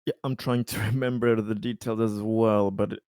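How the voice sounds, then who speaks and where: Smooth, low-voiced man at game table. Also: little pitch movement, normal speed, darkish tone, no room sound, clean studio sound. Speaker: tabletop roleplaying player. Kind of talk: casual game-table chat.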